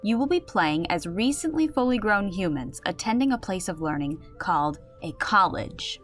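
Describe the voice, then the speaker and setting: An organized, patient storyteller, played by a woman, with a lively, mid-pitched voice. The figure explains things clearly and firmly, with quiet music underneath. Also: normal speed, close to an everyday voice. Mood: explaining. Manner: clear explanatory, gently authoritative. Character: composed, organized female game storyteller, patient but firm.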